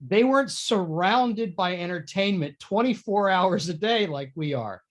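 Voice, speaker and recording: Man, fairly high voice, conversational and opinionated, taking part in a casual theatre chat. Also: lively intonation, unhurried. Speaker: participant in theatre discussion. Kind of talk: casual discussion.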